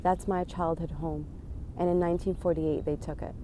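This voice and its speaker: A woman quietly telling a family story. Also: on the low side, level tone, normal speed, slight background noise. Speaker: interviewee.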